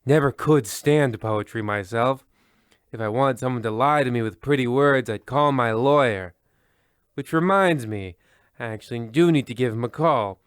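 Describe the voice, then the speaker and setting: A man's voice: mid-range voice, clear, resonant tone, dry recording, clean studio sound, close to an everyday voice. A man playing a wry, droll, mock-grand host narrating a comic radio play. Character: wry, sardonic storytelling host.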